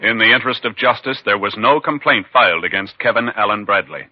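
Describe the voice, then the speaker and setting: Low-voiced old-time radio announcer over music. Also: male voice, fairly quick. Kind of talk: formal scripted 1950s radio announcing. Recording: muffled 1950s radio broadcast.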